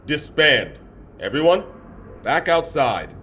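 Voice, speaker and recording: Smug, theatrical gang leader in echoing hall. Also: male voice, on the high side, big pitch swings, slow pace, pushed and bright, a little hiss or hum, full character voice. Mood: commanding. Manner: suave, theatrical, taunting. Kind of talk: audio drama acting. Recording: long hall reverb, music behind.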